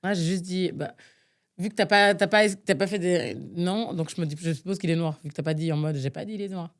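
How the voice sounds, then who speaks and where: A young woman from Nigeria with a slightly low voice, co-hosting a casual podcast. She thinks out loud, full of fillers and hesitations, and sounds fed up with the game she's playing. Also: a little fast. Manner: exasperated, thinks aloud with many fillers. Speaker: podcast co-host. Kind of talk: casual chat, guessing game.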